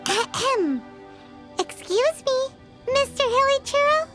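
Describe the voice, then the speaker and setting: A woman voicing a small, excitable childlike fairy companion in an anime video game, blunt and skeptical. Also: very high-pitched, slow pace, over loud music, full character voice. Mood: hesitant, hopeful. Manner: refers to self in third person, exclaiming.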